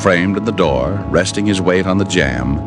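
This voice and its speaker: An actor playing a wry, easygoing private detective in an old radio drama, narrating and talking in a dry, laid-back way. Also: male voice, low voice, expressive, normal speed. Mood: dry, attentive. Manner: dry, laid-back first-person storytelling. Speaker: actor in the lead role and narrator. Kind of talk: 1940s-50s radio detective drama acting. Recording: old radio recording with music behind.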